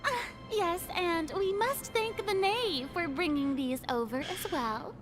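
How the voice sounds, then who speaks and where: A woman's voice: very high-pitched, unhurried. A woman playing a grand yet insecure young female ruler in a video game, haughty but nervously faltering. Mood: forced grandeur. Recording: music behind the voice.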